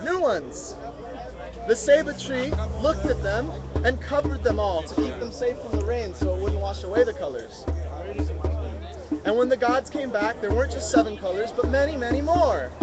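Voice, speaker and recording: Very high-pitched man reading a story aloud. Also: highs cut off. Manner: prompts listeners to fill in words. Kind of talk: interactive read-aloud storytelling. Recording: outdoor live mobile stream, music and crowd. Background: music, crowd chatter, street sounds.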